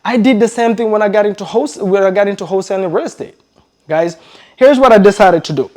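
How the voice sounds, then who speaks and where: Energetic man coaching in accented English. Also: high voice, fast. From grew up in Belgium. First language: not English. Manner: energetic, addresses viewers as "guys", many fillers and repetitions. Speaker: real estate coach. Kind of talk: motivational talk to camera.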